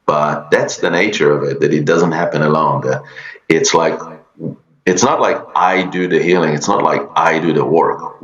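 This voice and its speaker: Casting: middle-aged Israeli man, very low voice, slow and halting, echoey room on lo-fi audio. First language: Hebrew. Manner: halting, many fillers and restarts. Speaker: guest, energy healer. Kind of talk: podcast interview.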